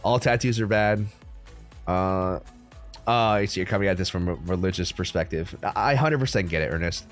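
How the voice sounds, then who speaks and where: A young American man hosts a casual livestream from his room at home, chatting with viewers in a loose, rambling way full of ums and likes. His voice sits a little low, and faint music plays behind him. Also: moderate pace. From United States. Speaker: crypto livestream host.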